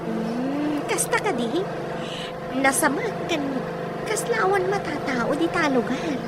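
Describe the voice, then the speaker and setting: A woman playing an inquisitive, expressive character in an audio drama, speaking with an Ilocano accent and a questioning manner. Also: high voice, takes it slowly, very noisy, heavily acted. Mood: curious. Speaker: drama performer.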